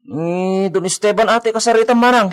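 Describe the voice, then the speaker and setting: A man plays a village henchman in a radio drama. His voice is high for a man, and he sounds worried, cautious and reluctantly obedient. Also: moderate pace, clean tone, close to an everyday voice. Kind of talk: Ilocano radio drama acting.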